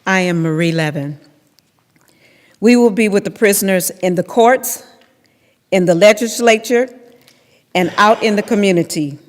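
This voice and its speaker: A woman's voice: medium pitch, unhurried, pauses often. A middle-aged woman speaking scripted lines aloud from a lectern. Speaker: reader in readers theater. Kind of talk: scripted staged reading. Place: large temple hall, at lectern. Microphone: microphone on stand. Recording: echoey hall.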